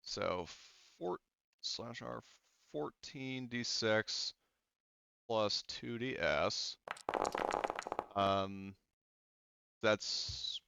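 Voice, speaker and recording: A man running a tabletop game as game master, pausing often with ums while he counts dice and tracks the turn. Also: lowish voice, creaky, lo-fi sound, very noisy. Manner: halting, many ums, counts dice aloud.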